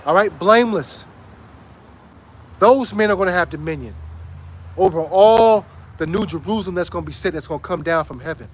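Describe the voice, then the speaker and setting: Street preacher, man, high voice, hoarse, emphatic and repetitive, faint traffic behind. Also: lively intonation, fairly quick, pauses often. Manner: emphatic, repeats phrases and asks "right?". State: hoarse, losing his voice. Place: outdoors on a city street.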